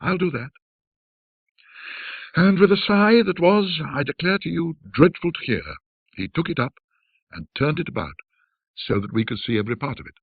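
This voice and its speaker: A man narrating a classic ghost story in a measured, dramatic, old-fashioned storytelling manner. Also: a little high, animated, vocal fry, breathy edge, no room sound, narrow, tinny audio.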